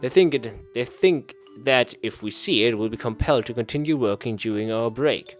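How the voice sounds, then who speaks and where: A man's voice: medium pitch, moderate pace, faint music behind. A man narrating a visual novel aloud during a playthrough, with a dramatic read-aloud delivery. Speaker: gaming video creator.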